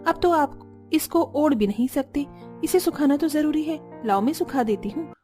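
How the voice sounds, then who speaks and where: A woman's voice: high voice, animated, moderate pace, dark tone, faint music behind. A woman voicing a long-suffering young daughter-in-law in a cartoon, plaintive and polite. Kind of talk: Hindi cartoon dialogue acting.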